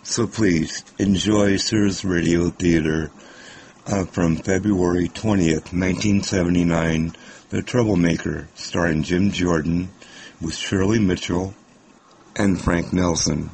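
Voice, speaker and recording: A man hosting a podcast, introducing an old radio show in a measured, informal manner. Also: deep voice, steady tone, gravelly, a little mellow, rough recording. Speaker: podcast host. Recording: faint background noise.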